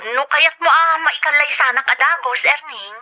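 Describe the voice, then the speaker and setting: A woman's voice: high voice, normal speed, natural voice. A woman with an Ilocano accent playing a supportive young sweetheart in a radio drama. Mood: coaxing. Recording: dull, band-limited lo-fi sound.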